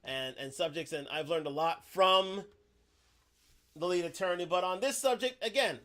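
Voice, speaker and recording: A man with a notably high voice hosts a livestream and sets up a clip. He talks haltingly, stopping and restarting his sentences and leaning on "again" as a filler. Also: a bit bright. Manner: hesitant, restarts, repeats "again". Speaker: stream host. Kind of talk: livestream introduction.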